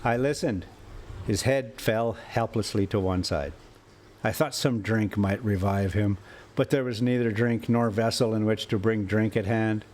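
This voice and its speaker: A man reading a classic adventure story aloud in deliberate, theatrical phrases broken into short clauses. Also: on the low side, fairly even intonation, darkish tone, a little hiss or hum. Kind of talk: dramatic audiobook-style narration of an adventure story. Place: inside a moving motorhome.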